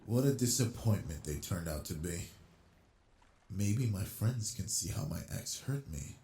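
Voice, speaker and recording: A man voicing an obsessive yandere man on a blind date, talking with quiet, smooth charm in a scripted audio roleplay, close on the mic. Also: low voice, expressive, measured pace, slight background noise. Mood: brooding. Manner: quiet brooding inner monologue, then smooth flirty charm.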